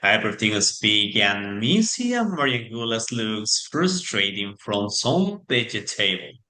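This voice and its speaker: Male storyteller: theatrical, animated intonation, forceful bright voice, halting non-native English delivery. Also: fairly low pitch. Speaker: narrator. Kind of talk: theatrical scripted narration.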